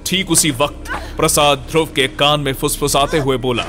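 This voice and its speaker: A man's voice: a little high, fairly even intonation, normal speed, pushed and bright. A man narrating a scripted horror story dramatically. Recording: music bed behind.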